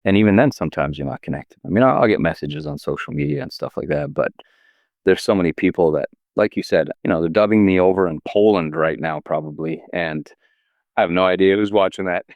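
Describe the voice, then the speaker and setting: A very low-voiced man from Canada in his forties, a guest on a podcast. He talks in animated, quick bursts and often restarts his sentences. The sound is dry and close to the mic. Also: dry recording, muffled recording. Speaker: actor and filmmaker guest. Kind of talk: casual interview chat.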